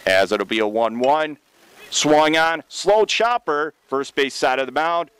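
An American man calling baseball play-by-play for radio from a stadium press box, in a measured, descriptive way with plenty of detail. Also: on the high side, lively intonation, a bit bright, clean tone. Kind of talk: live sports radio play-by-play commentary.

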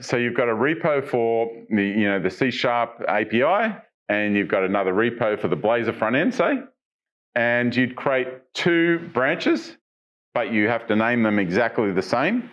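A middle-aged man presenting tech news on a studio stage, talking casually through software features, asking viewers questions, with frequent ums and restarts. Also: medium pitch, highs cut off. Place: studio stage with dark backdrop.

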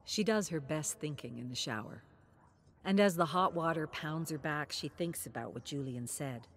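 A woman narrating a scripted dramatic story with theatrical, suspenseful and deliberate phrasing. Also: low voice, a little hiss or hum.

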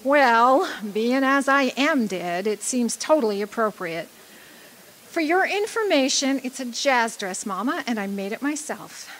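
Woman playing a brassy, lively, high-voiced dame. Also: measured pace, slight background noise, rough recording. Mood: defiant. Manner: brassy, wry, flirtatious. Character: loud, brassy, flashy dead woman, wry and defiant. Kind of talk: radio drama acting.

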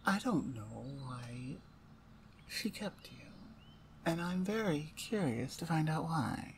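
A woman voicing a blunt, domineering orc shaman, an older sister, in a deliberate, condescending ASMR roleplay. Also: deep voice, very animated, a little hiss or hum, exaggerated voice. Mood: stern, scornful. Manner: deliberate, pointed, condescending, interrogating. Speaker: audio roleplay voice actor. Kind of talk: ASMR roleplay, one-sided dramatic dialogue.

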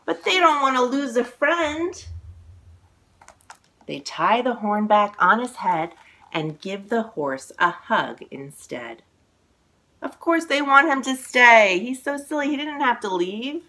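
A woman in her forties reading a children's picture book aloud, expressive and exclaiming, drawing out words for young listeners. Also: fairly high pitch. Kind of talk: animated children's read-aloud storytelling. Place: living room at home, indoors.

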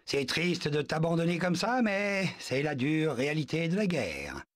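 A man voicing a bossy, military-minded male zoo animal, brisk and self-important, in a video game dub. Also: fairly high pitch, sing-song. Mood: commanding.

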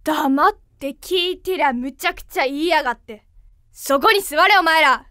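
An actress playing a put-upon foreign film star with blunt, old-fashioned speech in a comedic voice drama. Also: female voice, high-pitched, normal speed, bright, forceful tone, no room sound. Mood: scolding, furious.

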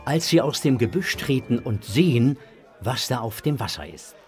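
A man's voice: mid-range voice, big pitch swings, moderate pace, darkish tone, soft background music. A man narrating a children's audio drama in a dramatic storytelling manner.

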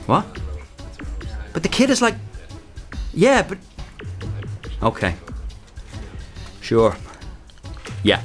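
An actor playing a put-upon shop clerk of thirty-three, sullen, defensive and easily riled, in an audio drama. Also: male voice, a little high, huge pitch swings, very slow, long pauses, soft background music, full character voice. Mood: deflated.

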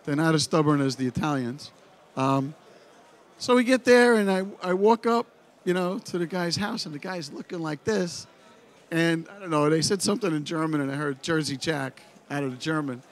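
A middle-aged man with a Brooklyn accent gives a blunt, animated seminar talk into a handheld microphone on a dark expo stage. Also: fairly high pitch, normal speed. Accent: Brooklyn New York. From United States. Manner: blunt, animated storyteller, full of "you know". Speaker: pinball company head giving a seminar. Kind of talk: informal conference talk.

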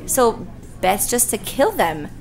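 Female, principled girlfriend, played by an actress, fairly high and very expressive, audio drama with faint music. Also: takes it slowly, gravelly, full character voice. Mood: indignant. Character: passionate, principled young girlfriend Carol.

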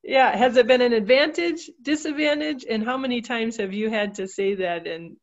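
A woman's voice: on the high side, moderate pace. A woman hosts a podcast, putting casual, friendly questions to her guest. She speaks from a room at home through a webcam, and her audio sounds dull and lo-fi. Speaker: podcast host, interviewer. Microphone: webcam on video call. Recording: dull lo-fi video-call audio.

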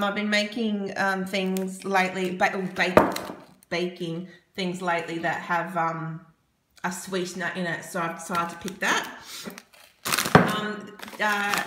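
A young woman with an Australian accent talks casually and at a middle pitch, filling gaps with ums as she tallies prices. She is recorded on a phone in her home kitchen. The room is echoey, and loud household noise sits behind her voice. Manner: chatty, many ums, rambling price tallies. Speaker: vlogger. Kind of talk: casual grocery haul chat. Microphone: phone camera held over the counter. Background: husband and dog heard.

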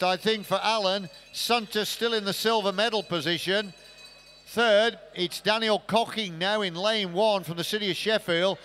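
A man's voice: high-pitched, a bit bright. A man giving live swimming race commentary as the commentator.

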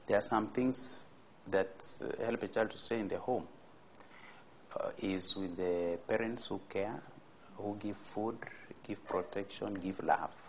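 A middle-aged man answering interview questions in an office, deliberate and pausing with fillers. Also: lowish voice, a little hiss or hum, rough recording. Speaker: expert interviewee. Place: office indoors.

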